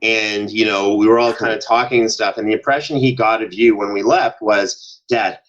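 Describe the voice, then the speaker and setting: A man speaking as a podcast guest in casual interview chat, telling anecdotes in an animated way and acting out exchanges. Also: lowish voice, normal speed, lo-fi sound. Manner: animated storyteller, acts out quoted exchanges. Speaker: podcast guest, audio drama producer.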